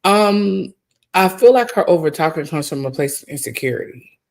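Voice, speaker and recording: Gravelly blunt woman. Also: sits low, expressive, moderate pace. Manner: candid, opinionated, blunt. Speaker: guest. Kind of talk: casual chat.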